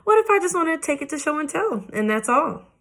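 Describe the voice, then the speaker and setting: A woman's voice: medium pitch, measured pace, fairly bright tone, lightly acted. A woman voicing a spirited, proud little schoolgirl in a children's book read aloud, whiny and hollering. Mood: shaky pleading. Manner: whiny, hollering, dragging words out.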